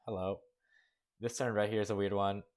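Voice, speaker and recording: A man's voice: on the low side, little pitch movement, moderate pace, darkish tone. A young man hosting a casual contest review, rambling through frank critique with many fillers. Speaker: host judging a coaster-building contest. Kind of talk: casual commentary over gameplay.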